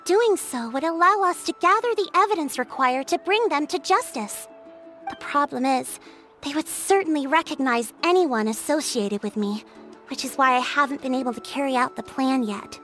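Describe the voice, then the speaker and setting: A woman's voice: high voice, animated, normal speed, fairly bright tone. A woman playing a poised, friendly young chief in a video game, speaking politely and with assurance. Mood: earnest. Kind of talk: animated video game dialogue. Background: music.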